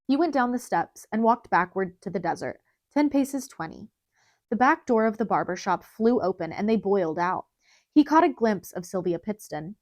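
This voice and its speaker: A woman narrates an audiobook in a dramatic, scripted storytelling style. Her voice sits at a middle pitch for a woman, and the recording is close and dry, with very little room sound. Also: darkish tone, dry recording.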